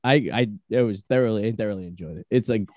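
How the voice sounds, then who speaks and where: A man's voice: fairly low pitch, fast, a little mellow, no room sound. A man hosting a casual podcast, talking loosely with stumbling restarts and casual swearing. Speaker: podcast host.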